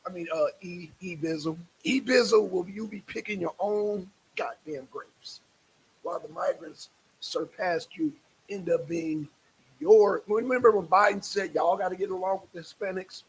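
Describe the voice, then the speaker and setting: Man, high voice, live stream host, repetitive emphatic talk, exasperated, slightly hissy stream audio. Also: normal speed, creaky, low-quality recording. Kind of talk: casual live stream commentary.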